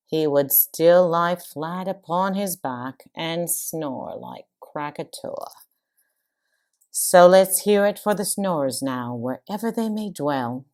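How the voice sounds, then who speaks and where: An older woman playing an arch long-married wife, reciting a comic verse monologue in a theatrical, measured way. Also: low-pitched, a little mellow, exaggerated voice. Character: long-married wife cheerfully confiding about snoring.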